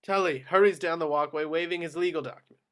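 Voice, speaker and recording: A man's voice: on the high side, fast talker, a bit bright, smooth. A man reading scripted action descriptions as the narrator of a screenplay table read.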